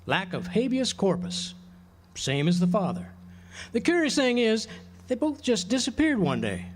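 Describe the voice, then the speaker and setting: An actor playing a boozy, gossipy small-town professor with florid, courtly speech in a radio drama. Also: male voice, high voice, very animated, normal speed. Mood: gossipy, confiding. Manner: florid, mock-formal wording. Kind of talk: theatrical radio drama dialogue. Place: outdoor amphitheater stage. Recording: noisy outdoor live recording.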